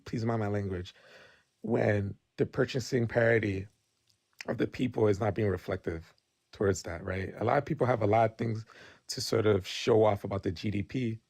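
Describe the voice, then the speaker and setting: A man with a low, gravelly voice, who grew up Nigerian, speaks bluntly in a casual podcast debate. He restarts his sentences often and keeps checking in with "right?". The sound is dry and close to the mic. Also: darkish tone, no echo. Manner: candid, blunt, frequent restarts and "right?" tags.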